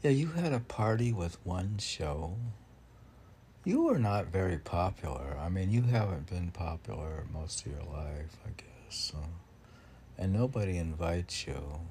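A man with a gravelly, husky voice reading his own poems, with halting, self-deprecating commentary in which he talks back to himself. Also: low-pitched, expressive, a little mellow, slight background noise. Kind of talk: poem reading mixed with rambling self-commentary.

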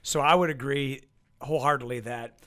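Bright-voiced emphatic man. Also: medium pitch, steady tone, normal speed. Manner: emphatic, questioning, persuasive. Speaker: podcast co-host. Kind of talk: casual podcast conversation.

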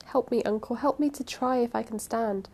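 A woman's voice: a little high, moderate pace, creaky, darkish tone, pitched above the performer's own voice. Woman acting a frightened, pleading niece. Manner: urgent, imploring, repeated phrases. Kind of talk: audiobook character voice.

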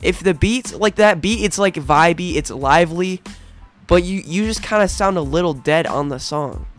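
A man's voice: sits high, moderate pace, faint music behind. Earnest teen boy giving blunt feedback. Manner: earnest, insistent, repeats himself. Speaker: friend giving music feedback. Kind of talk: casual chat.

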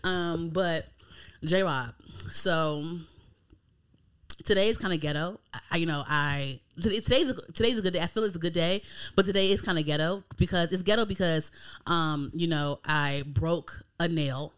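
A woman's voice: lowish voice, normal speed, fairly bright tone, clear, resonant tone. An American woman of thirty hosting a casual podcast, chatting informally with slang and free swearing. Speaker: podcast host.

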